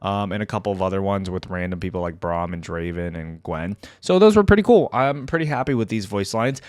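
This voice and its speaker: A man with a lowish voice gives casual reaction commentary. He thinks out loud informally, pausing and rephrasing as he goes. The sound is dry and close. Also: animated, clean tone, no room sound. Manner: informal, halting and reflective, with frequent "like". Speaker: reaction video host.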